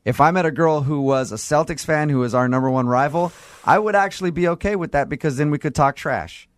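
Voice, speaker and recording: A man's voice: on the high side, brisk, no echo, studio quality. A man with an American accent hosting a talk radio show, bantering and teasing his callers. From Los Angeles, United States. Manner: joking, teasing.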